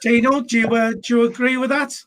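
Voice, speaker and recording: A man's voice: extremely high pitch, level tone, fast talker. A man hosting a live football fan show, blunt and full of fillers as he moves between panelists. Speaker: host.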